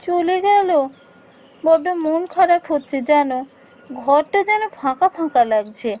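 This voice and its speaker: A woman's voice: high voice, lively intonation, unhurried, a little mellow. A woman playing a poor, tender, practical village wife in a fairy-tale cartoon, speaking expressively and emphatically. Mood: worried, sad.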